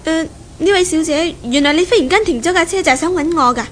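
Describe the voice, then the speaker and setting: A woman's voice: extremely high pitch, fairly quick. An actress playing a polite, earnest young woman engaged to be married, in a 1970s Cantonese radio drama with a Hong Kong accent. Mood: polite, puzzled. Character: polite, earnest young bride-to-be, university graduate daughter. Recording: 1970s radio recording, faint noise.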